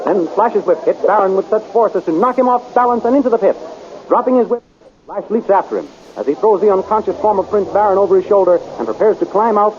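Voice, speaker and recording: A man narrating a 1930s radio serial in a dramatic, urgent way, on a muffled old recording with hiss. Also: sits high.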